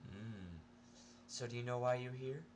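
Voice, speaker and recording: A man's voice: mid-range voice, unhurried, slight background noise, voice pushed up, close to an everyday voice. Man acting a patient, clinical male doctor. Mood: formal.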